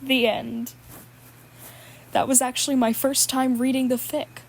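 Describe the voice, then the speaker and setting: A woman's voice: sits high, flat delivery, unhurried. Woman reading fan fiction, laughing along. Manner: laughs at the text while reading. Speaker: podfic reader.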